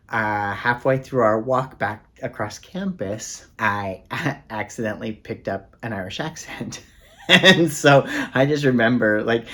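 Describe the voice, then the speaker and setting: A man's voice: lowish voice, fairly bright tone. A man chatting casually in a book tag vlog, rambling and self-deprecating with plenty of ums and uhs. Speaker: book vlogger.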